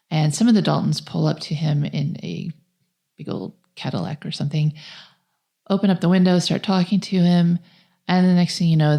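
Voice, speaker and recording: A woman's voice: low voice, creaky, warm tone. A woman co-hosting a podcast, recapping a film's story in a conversational way. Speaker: podcast co-host. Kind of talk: conversational film plot recap.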